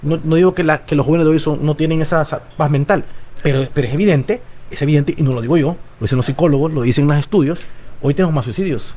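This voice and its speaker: A man's voice: on the high side, fast, mellow. A man of about forty with a Honduran accent, talking casually and emphatically as an interview guest, repeating phrases and adding tag questions.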